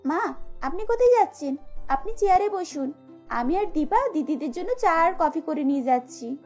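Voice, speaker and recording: A woman's voice: very high-pitched, lively intonation, moderate pace, a little mellow. A woman voicing a polite, earnest young village daughter-in-law in a cartoon story. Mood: caring, polite. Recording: dull lo-fi audio with music bed.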